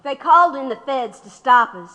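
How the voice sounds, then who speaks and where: A defiant woman activist being questioned in a radio play. Her voice is high and forceful, embittered and unyielding, ringing in a reverberant room. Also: lively intonation, moderate pace, dull audio. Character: defiant, embittered female political activist under interrogation. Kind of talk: radio drama acting.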